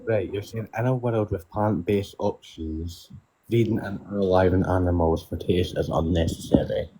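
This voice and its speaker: Deep-voiced eighteen-year-old caller on a phone. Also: male voice, moderate pace, warm tone, breathy edge. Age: teens. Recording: distant noisy phone call.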